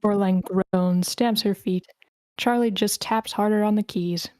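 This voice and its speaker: A woman's voice: medium pitch, fairly even intonation, a little slow, darkish tone, smooth, no echo, studio quality. A woman reading stage directions as narrator at a screenplay table read over an online call. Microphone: video call.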